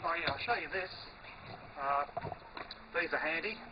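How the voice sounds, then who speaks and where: A man's voice: fairly high pitch, moderate pace. An Australian man giving a casual, unscripted walkthrough of equipment outdoors in a park, with a hesitant, colloquial, laid-back delivery over muffled, noisy transmission audio. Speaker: amateur television operator presenting from a portable station.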